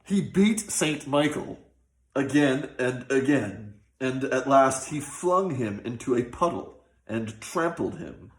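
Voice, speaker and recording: Male storyteller, slow measured pace, scripted folk tale narration, expressive, old-fashioned delivery, roomy echo. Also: mid-range voice. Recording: roomy, echoing sound.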